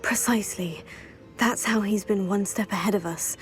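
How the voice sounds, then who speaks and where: A woman's voice: medium pitch, moderate pace, faint music behind, lightly acted. An actress playing a determined young witch student, composed and earnest in a video game cutscene. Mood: explanatory. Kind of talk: video game dramatic acting.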